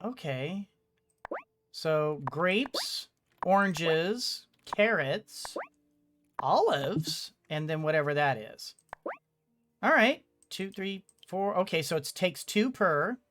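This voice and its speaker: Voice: medium pitch, expressive, slow, a bit bright, slight background noise. A video game streamer giving casual commentary, thinking aloud in a hesitant, rambling way.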